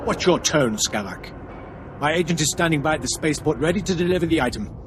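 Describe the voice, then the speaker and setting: A man's voice: a little high, animated, fast talker, background noise, close to an everyday voice. A male actor playing a haughty, impatient imperial military commander in a sci-fi video game, with a pompous, imperious delivery. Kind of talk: video game cutscene acting.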